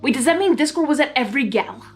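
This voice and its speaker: A woman's voice: high voice, expressive, rapid-fire, soft background music. A woman reading fan fiction aloud informally, unrehearsed, with stumbles and amused asides. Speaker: fan fiction reader and channel host. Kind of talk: informal storytelling read-aloud.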